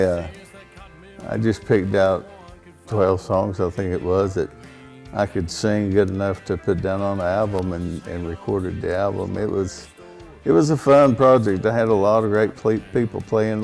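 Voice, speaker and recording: A man with a baritone voice, speaking as a songwriter guest in a casual interview, plainspoken and rambling with frequent fillers and restarts. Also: steady tone, moderate pace, creaky, darkish tone, soft background music.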